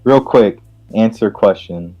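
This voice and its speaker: A man's voice: on the low side, takes it slowly, mellow, highs cut off, soft background music. A man reading game text aloud and reacting casually, playful and stumbling over lines.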